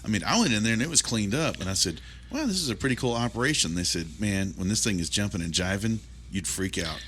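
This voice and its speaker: A man hosting a casual interview, telling stories in a colloquial, animated way. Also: lowish voice, fast, slight background noise. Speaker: interview host.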